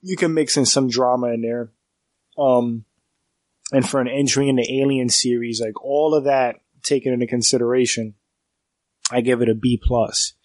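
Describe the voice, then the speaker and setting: A man talks through a film review for his podcast, his nose stuffed up from a cold. He rambles in a casual way, restarting sentences and leaning on fillers. His delivery stays fairly flat, and the sound is close and dry with no room echo. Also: mid-range voice, moderate pace. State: congested from a cold.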